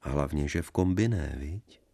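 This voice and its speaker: A man's voice: rumbling low, expressive, gravelly, dark tone, exaggerated voice. A man voicing a bored, lazy young wife who coaxes and wheedles like a little girl. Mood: coaxing lazy.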